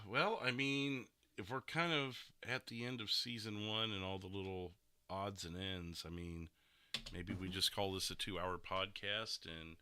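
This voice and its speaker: A man's voice: low voice, bright, forceful tone, clear, resonant tone, dry recording. A man hosting a podcast in casual chat, with hesitant, rambling phrasing. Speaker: podcast host.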